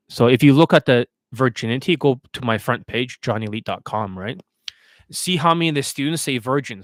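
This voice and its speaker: Male livestream coach, average pitch, blunt instructional question-and-answer delivery, dry recording. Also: no echo. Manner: blunt, didactic, often checking with rhetorical questions. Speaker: dating coach and livestream host.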